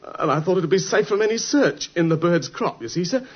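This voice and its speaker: Casting: nervous, pleading English thief for old-time radio, high and breathy, grovelling delivery. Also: male voice, expressive, a little fast. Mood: nervous confessing. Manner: stammering, deferential, pleading. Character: frightened, pleading petty thief, cringing and servile. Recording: muffled vintage radio broadcast.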